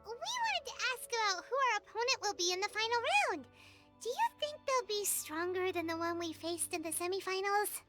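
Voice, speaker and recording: A woman voicing a tiny, childlike, excitable and blunt fairy companion in a video game. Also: very high-pitched, brisk, exaggerated voice. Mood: excitable, cheeky. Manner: childlike, excitable, refers to herself by name. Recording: music clearly behind.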